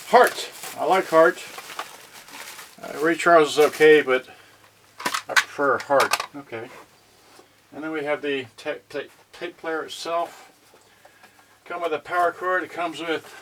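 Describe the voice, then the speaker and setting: A man giving casual, halting unboxing commentary, muttering mildly grumbling asides to viewers. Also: fairly high pitch, a bit bright. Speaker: unboxing vlogger. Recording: noisy recording with rustling packaging. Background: clicks and crinkling packaging.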